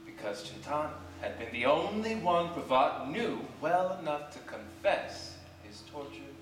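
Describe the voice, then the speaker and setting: Woman, deep register, animated literary stage reading; heavily reverberant live recording with faint hum. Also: measured pace. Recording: very echoey, distant live theater recording, hum.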